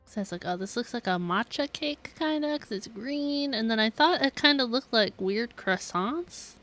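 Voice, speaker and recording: A woman chats casually while livestreaming a game. Her voice is bright and a little high, with an unhurried, giggly way of talking. Faint game music plays behind her. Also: expressive. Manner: chatty, giggly. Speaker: game streamer.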